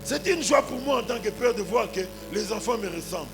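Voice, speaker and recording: A man's voice: high-pitched, animated, brisk, music in the background. A man in his forties with a Congolese accent preaching a sermon as a pastor, punctuating his points with exclamations and rhetorical questions. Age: 40s. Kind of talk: animated sermon with anecdotes and congregational address.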